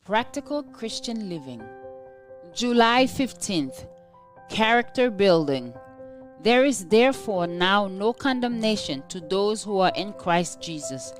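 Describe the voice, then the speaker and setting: A woman reading a scripted devotional in a measured, formal, earnest way. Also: medium pitch. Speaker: devotional reader. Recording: music playing behind the voice. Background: music.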